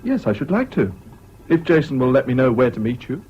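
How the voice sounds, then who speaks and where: Actor as a polite, hesitant, animated English gentleman. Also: mid-range voice, a little fast, natural voice. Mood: polite, awkward. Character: polite, hesitant, mildly sceptical educated young English man. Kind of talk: 1970s BBC radio drama acting. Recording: muffled old radio recording, faint hiss.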